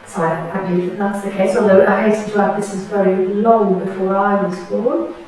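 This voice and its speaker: A woman of about sixty from Tyneside, thoughtfully recalling her memories in an oral history interview, pausing and restarting as she goes. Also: medium pitch, little pitch movement, darkish tone, a little hiss or hum. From Tyneside, England. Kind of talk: reflective personal reminiscence. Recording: very echoey room, distant mic.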